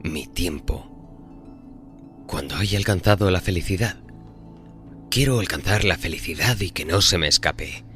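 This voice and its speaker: Man playing deep-voiced, wary, earnest bargainer. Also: unhurried, pauses often, pushed and bright. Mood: earnest, yearning. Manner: hesitant, earnest, politely questioning. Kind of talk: audio horror drama acting. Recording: music behind the voice.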